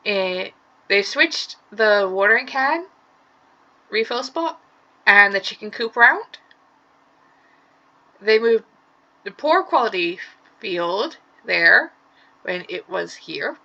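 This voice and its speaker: A woman with a hoarse voice from a sore throat, casually commenting on a game she is playing and explaining its details step by step. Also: medium pitch, animated, takes it slowly, pauses often, sharp tone, highs cut off. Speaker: let's play gamer. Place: room at home.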